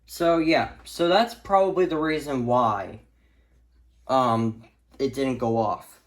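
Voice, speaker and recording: A man's voice: mid-range voice, takes it slowly, noisy background. A man in a casual, unscripted hobby vlog, rambling and stammering as he rants, stretching out words for emphasis. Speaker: hobbyist video creator showing a radio collection.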